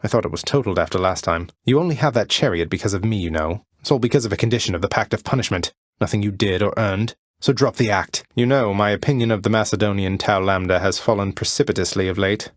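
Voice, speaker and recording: An actor playing a wry young prince of the underworld in a video game, courteous with a dry, understated sarcasm. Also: male voice, on the low side, quick pace, few pauses, no echo, close to an everyday voice. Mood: dry, taunting. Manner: dry, understated, politely sardonic. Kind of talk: video game character voice lines.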